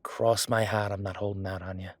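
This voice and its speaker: Man playing a low-voiced, wry, chatty records clerk. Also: little pitch movement, a little fast, no room sound. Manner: rambling, wry, exaggerated feigned innocence. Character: chatty, sardonic male records clerk bending the rules. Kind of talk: roleplay audio drama.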